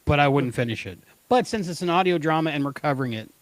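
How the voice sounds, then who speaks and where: Higher-pitched man, lively podcast banter. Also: quick pace, dry recording. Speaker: podcast co-host.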